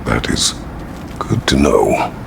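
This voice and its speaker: A man playing a stern, weary battle-hardened warrior in a video game, with a gravelly rumbling voice and terse, formal speech. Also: slow, background noise, full character voice. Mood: grim, guarded. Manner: terse, formal, few contractions. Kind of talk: cinematic video game acting.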